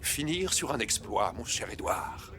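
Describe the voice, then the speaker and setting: An actor playing a suave, ambitious gentleman with polished, flattering formality, in a period film drama. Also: medium pitch, unhurried, music in the background. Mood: smooth persuasion. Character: suave, ambitious gentleman passenger coaxing a captain.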